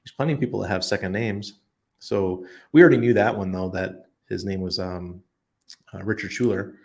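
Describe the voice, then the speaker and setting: Stream host, male, low gravelly voice, loose rambling delivery with ums and restarts. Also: a little fast, darkish tone. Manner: rambling, thinks aloud with many ums and restarts. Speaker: livestream host answering chat. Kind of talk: casual chat. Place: expat living abroad.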